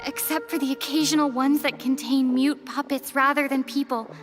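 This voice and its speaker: A woman playing a young traveler who records a slow, measured, reflective message, in a video game cutscene monologue. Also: sits high, level tone, natural voice. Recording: game audio with music behind.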